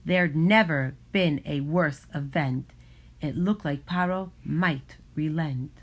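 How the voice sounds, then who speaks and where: Slow, lowish-voiced woman reading a storybook. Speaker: storybook reader. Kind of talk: rhyming storybook narration for children.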